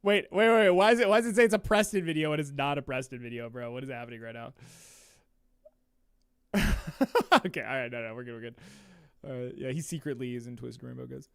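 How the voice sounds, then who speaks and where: A man's voice: mid-range voice, fairly even intonation, fast, creaky, pauses often, a bit bright, clean tone, no room sound, studio quality. A man in his twenties hosting a livestream watch party, chatting casually in slang and laughing often, with a sniffly voice. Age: 20s.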